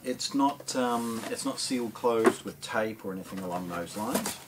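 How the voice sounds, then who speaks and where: A middle-aged man with a New Zealand accent casually presenting an unboxing, in a hesitant, improvised way with frequent pauses. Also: fairly low pitch. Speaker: product presenter at an audio shop. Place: small indoor showroom with shelves and speakers. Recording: clear background noise.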